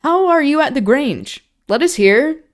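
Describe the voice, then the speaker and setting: A woman's voice: high voice, animated, voice pushed up. A woman reading aloud, voicing a brusque older man speaking in a falsely hearty tone. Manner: hearty, quick.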